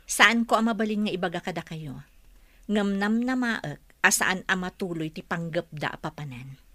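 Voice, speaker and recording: An actress with a Filipino accent playing a doubting, knowing young woman, measured and insinuating, in a radio drama. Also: fairly low pitch, animated, fairly bright tone, no room sound, clean studio sound. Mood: doubtful. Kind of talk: Ilocano radio drama acting.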